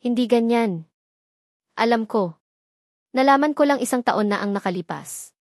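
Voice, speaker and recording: A woman's voice: a little high, lively intonation, normal speed, no room sound, studio quality. A woman voicing a guilty, wealthy man in love in a story, pleading desperately.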